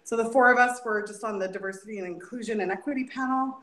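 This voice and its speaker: A woman's voice: on the high side, fast, a bit bright. A woman casually introducing herself as a panelist on a group video call. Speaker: panelist, diversity and accessibility worker. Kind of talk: casual, informal self-introduction. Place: high-ceilinged indoor room. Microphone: shared device on a group video call. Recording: echoey room on video call.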